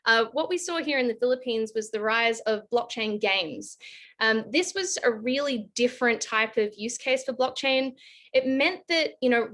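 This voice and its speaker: A woman with an Australian accent speaks at a medium pitch. She talks as an expert panelist, laying out her points clearly in a conversational, explanatory style. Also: a bit bright. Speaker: panelist, advisory firm director. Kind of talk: panel discussion, explanatory talk.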